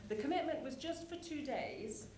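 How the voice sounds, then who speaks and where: A woman's voice: high voice, animated, moderate pace, darkish tone. Woman presenting in an echoey, noisy hall. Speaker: conference presenter. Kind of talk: prepared plenary presentation. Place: large conference hall with an audience. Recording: echoey conference hall, clear background noise.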